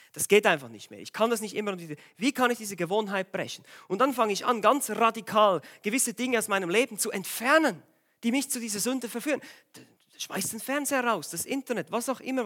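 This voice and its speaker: Male preacher, voice a bit worn from a cold, bright and forceful, urgent exhortation. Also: high-pitched. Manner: urgent, exhorting, asks the congregation direct questions. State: has a cold, voice a bit strained. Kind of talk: sermon.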